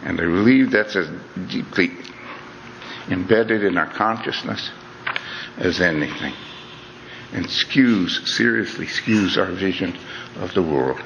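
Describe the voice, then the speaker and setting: A man's voice: low voice, slow pace, vocal fry, pauses often, fairly bright tone, slight background noise. A middle-aged man giving an earnest, conversational talk, often saying "you know" and restarting his phrases. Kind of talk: reflective lecture to an audience. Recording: dull lo-fi recording in a reverberant hall.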